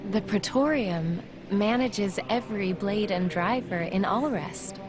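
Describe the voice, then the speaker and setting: Woman doing a polite serene envoy lady for a game, very formal n gracious, loud music behind. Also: mid-range voice, unhurried, natural voice. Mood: formal, courteous. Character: courteous, serene female envoy, deferential and formal. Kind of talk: video game cutscene acting.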